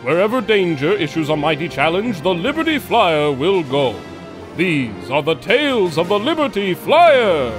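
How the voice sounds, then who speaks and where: Grand, animated male radio-serial announcer. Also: high-pitched, moderate pace, over music. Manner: grand, dramatic. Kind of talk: old-time radio serial announcing, scripted.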